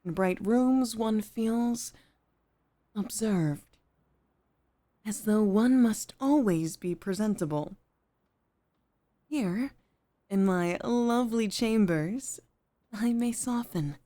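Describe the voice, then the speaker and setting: A woman playing a poised, gentle head maid off duty in an intimate ASMR roleplay, speaking with formal, measured politeness. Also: mid-range voice, lightly acted. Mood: calm, confiding.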